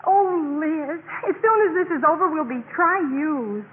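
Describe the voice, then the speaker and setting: Casting: timid young sorority pledge, high earnest voice, 1950s radio drama, thin crackly recording. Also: female voice, lively intonation, a little slow. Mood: anxious, excited. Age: young adult. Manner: apologetic, earnest, breathless gushing. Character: anxious, meek, eager young college pledge girl. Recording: noisy, tinny 1950s radio broadcast recording.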